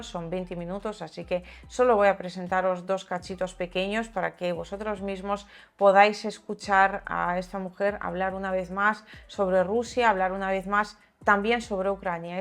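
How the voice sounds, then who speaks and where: A woman hosting a channel, giving opinionated, sarcastic political commentary in a conversational way, sometimes reading aloud. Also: medium pitch. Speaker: video channel host and commentator. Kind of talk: political commentary to viewers, part read aloud.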